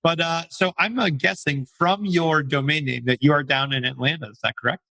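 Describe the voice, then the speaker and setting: A hoarse man with a cold hosting a podcast, chatting enthusiastically and joking at his own expense. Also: a little high, moderate pace, sharp tone. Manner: chatty, enthusiastic, self-deprecating jokes. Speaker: podcast host. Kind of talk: casual interview chat.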